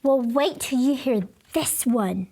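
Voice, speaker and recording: A woman's voice: sits high, slow, dark tone, full character voice. A woman voicing indignant six-year-old schoolchildren in a storybook, protesting in a whiny, emphatic way.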